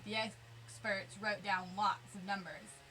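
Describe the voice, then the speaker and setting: A woman reading a children's picture book aloud, expressive and emphatic, drawing out words for effect. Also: mid-range voice, slow, a little hiss or hum, rough recording.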